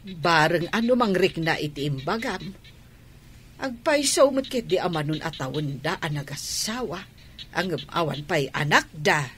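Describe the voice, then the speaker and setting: A woman's voice: low voice, lively intonation, a little slow, fairly bright tone, slight background noise. A woman with an Ilocano accent acting an inquisitive, motherly village elder in a radio drama. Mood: questioning, placating.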